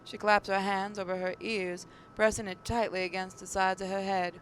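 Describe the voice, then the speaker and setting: A woman's voice: medium pitch, level tone, normal speed, slight background noise, low-quality recording. A woman reading a story aloud as dramatic narration, occasionally stumbling over the words. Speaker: gaming channel narrator reading a visual novel aloud.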